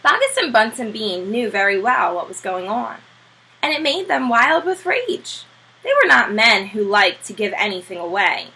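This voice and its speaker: A woman with a high, bright voice reads a children's storybook aloud like a schoolteacher. She is expressive and emphatic, pausing for short asides to her listeners. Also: low-quality recording. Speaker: teacher reading a children's book aloud.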